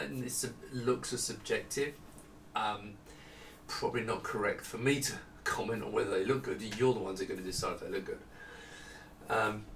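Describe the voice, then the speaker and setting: A man's voice: on the low side, vocal fry, fairly bright tone. Echoey, distant middle-aged man presenting casually. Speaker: product designer presenting his monitors. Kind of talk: casual unscripted product walkthrough. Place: small home office indoors with studio lighting. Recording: echoey room, distant mic, faint noise.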